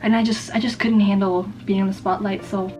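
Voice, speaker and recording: Twenty-something woman yapping to her subscribers, lots of "like"s and self-roasting jokes, medium pitch, music going in the background. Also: level tone. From East Coast of the United States. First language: Vietnamese. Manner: chatty and rambling, many fillers, quick bursts, self-mocking jokes, frequent laughs. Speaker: YouTuber. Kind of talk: casual get-to-know-me chat to camera. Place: her own room at home.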